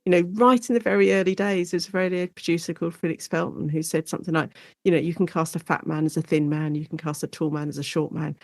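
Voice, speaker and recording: A woman's voice: low-pitched, fairly even intonation, fast talker, creaky, few pauses, a little mellow, dry recording. Chatty woman guest, close dry sound. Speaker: guest academic and author. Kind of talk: conversational interview, explaining.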